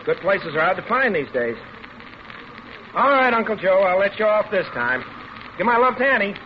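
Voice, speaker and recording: A man's voice: high voice, big pitch swings, moderate pace. An actor playing a cheerful, teasing young motorcycle policeman in an old radio drama, with a jaunty, breezy manner. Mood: friendly. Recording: muffled, noisy old radio recording.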